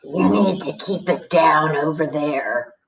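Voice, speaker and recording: A woman's voice: deep voice, slow pace, vocal fry, a crowd in the background, pitched below the performer's own voice, heavily acted. A woman voicing a mad old hospital patient in a scratchy, whispery, conspiratorial voice, as in a read-aloud story. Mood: menacing whisper. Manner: conspiratorial, unhinged. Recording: roomy echo, distant from the mic.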